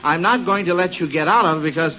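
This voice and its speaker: A man's voice: high-pitched, fast, pushed and bright. A middle-aged American man hosting an old-time radio quiz show in a folksy, chatty way, repeating himself approvingly. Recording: noisy vintage radio broadcast recording.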